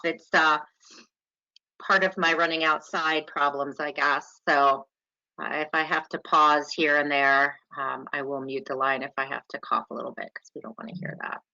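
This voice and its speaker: Woman presenter, bright tone, chatty and welcoming, muffled web call audio. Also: deep voice. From New York. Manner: chatty and welcoming, with small laughs. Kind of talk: webinar lecture. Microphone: online call audio.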